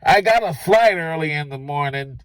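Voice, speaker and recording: A creepy, drunk middle-aged man as a male audiobook narrator plays him. His voice is pitched up, and he jokes loosely in bar-side chat with an angry edge. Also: expressive, moderate pace, no echo, close to an everyday voice, rough recording. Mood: boozy, pushy. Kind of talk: audiobook character voice.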